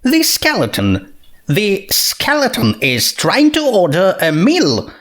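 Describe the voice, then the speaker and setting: A man's voice: high voice, huge pitch swings, slow, a bit bright, pitched above the performer's own voice, full character voice. A man voicing a bookish young pony princess with a dry, incredulous, dismissive manner, in a storybook reading. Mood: baffled.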